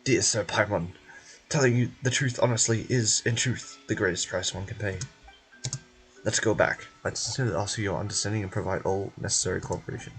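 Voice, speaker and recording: A man's voice: fairly low pitch, steady tone, a bit bright, faint music behind, rough recording. Man playing a formal, evasive institute official. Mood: solemn, polite. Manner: measured, polite, officious. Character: formal, evasive male research institute official in fantasy game.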